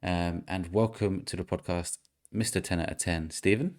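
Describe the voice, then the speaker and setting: A man's voice: deep voice, moderate pace. A man hosting a casual gaming podcast, running a quiz and reading clues aloud with easy chuckles. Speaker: podcast host.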